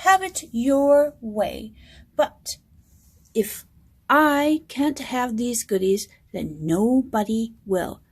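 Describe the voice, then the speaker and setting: A woman's voice: a little high, lively intonation, extremely slow, slightly rough, exaggerated voice. A woman voicing a rude, sulky, mischievous male court jester in a storybook, with a grumpy, taunting manner.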